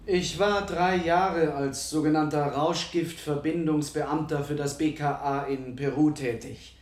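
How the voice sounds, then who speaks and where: An actor playing a police detective who reads an official statement to the court in a bureaucratic tone. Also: male voice, fairly high pitch, fairly even intonation, a little slow, reverberant, natural voice.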